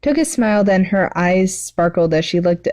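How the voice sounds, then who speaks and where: A woman's voice: lowish voice, animated, normal speed, darkish tone. A woman giving an amateur, self-deprecating story reading with casual chat, stumbling over words now and then. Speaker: fan fiction writer and reader, channel host.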